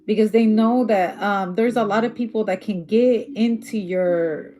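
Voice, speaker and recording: A woman's voice: mid-range voice, measured pace, mellow. A woman doing a casual tarot reading who is losing her voice, talking bluntly and swearing freely. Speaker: tarot reader.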